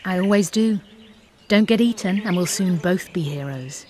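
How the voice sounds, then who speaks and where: An actress playing a haughty, self-assured sorceress in a video game, speaking in a cool, imperious way. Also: female voice, on the low side, lively intonation, normal speed, mellow, slight background noise, close to an everyday voice. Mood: cool, confident.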